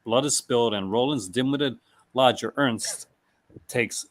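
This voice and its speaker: A man in a relaxed conversation, reading out film blurbs. He stumbles over names, restarts his words and laughs easily as he goes. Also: mid-range voice, measured pace. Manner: reads product blurbs aloud, stumbling and restarting, laughs often. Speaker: host. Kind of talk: casual chat.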